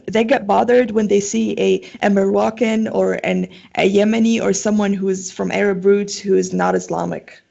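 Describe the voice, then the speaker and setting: A woman's voice: mid-range voice, vocal fry, mellow, muffled recording. A young woman from Syria with a Syrian Arabic accent, talking casually as an interview guest and often filling with "like" and "um". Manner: chatty, lots of "like" and "um".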